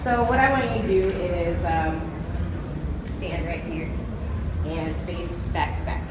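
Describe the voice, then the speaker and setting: A woman's voice: fairly low pitch, animated, measured pace, distant mic. A woman hosting a live convention panel, playfully directing audience volunteers through a game. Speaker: panel host. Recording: echoey convention hall, background noise.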